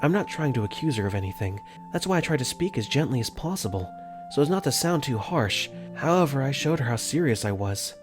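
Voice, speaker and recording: A young man voicing an earnest, caring dorm caretaker for a fan dub, gently narrating his own thoughts in first person. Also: mid-range voice, very animated, brisk, lightly acted. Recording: faint music under the voice.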